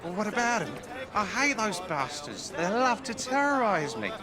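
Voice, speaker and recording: An actor playing a whiny, rambling Victorian drunkard with slurred, plaintive speech in a video game cutscene. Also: male voice, high-pitched, very animated, moderate pace. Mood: indignant, grumbling.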